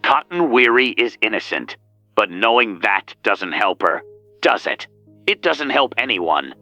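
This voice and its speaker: An actor playing a menacing male killer who calls a radio show, calm and teasing. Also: on the low side, dry recording, soft background music, heavily acted. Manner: slow, teasing, sinister. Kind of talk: audio drama acting.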